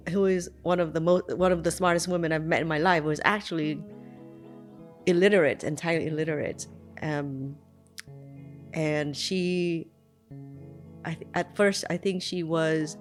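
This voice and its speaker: A woman in her forties from Vietnam, recalling her childhood in an unscripted, reflective way, with frequent ums and likes and restarts in her sentences. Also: sits low. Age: 40s. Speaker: migrant telling her life story. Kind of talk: unscripted personal memoir.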